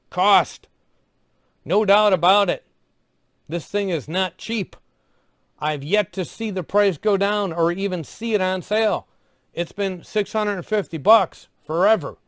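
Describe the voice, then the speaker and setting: A man with a notably high voice narrates a product review, recorded very dry with no room sound. His delivery is scripted yet conversational. He keeps to plain, clipped phrases and slips in dry asides. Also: dry recording.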